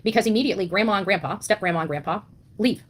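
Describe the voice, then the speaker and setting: A woman's voice: mid-range voice, racing, breathy edge. A woman delivering an impassioned monologue as an online commentator, blunt and indignant, leaning on rhetorical questions and emphatic repetition. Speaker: online commentator on a missing-child case.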